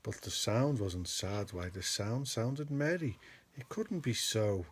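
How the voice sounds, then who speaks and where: A man's voice: lowish voice, animated, slow pace. A man reading a children's storybook aloud as a podcast host, in a narrating style.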